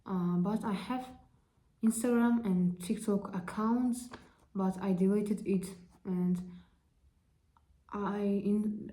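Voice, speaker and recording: A young woman from Kazakhstan with a Kazakh accent, introducing herself in halting, careful learner's English full of fillers. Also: mid-range voice, warm tone, low-quality recording. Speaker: vlogger. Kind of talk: casual self-introduction.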